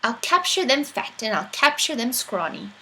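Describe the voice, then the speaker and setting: Woman as boastful young boy, storybook rhymes, sing-song, imaginative, highish pitch, lively swings, background noise. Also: normal speed, exaggerated voice. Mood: excited, adventurous. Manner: rhyming, emphatic, sing-song storybook delivery.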